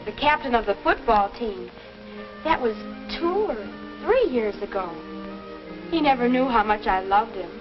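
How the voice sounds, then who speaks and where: A woman's voice: fairly high pitch, expressive, normal speed, close to an everyday voice. An actress playing an earnest, curious American teenage daughter in a 1950s instructional film, speaking in a girlish, neat way. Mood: wistful, amused. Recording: dull vintage film soundtrack with music.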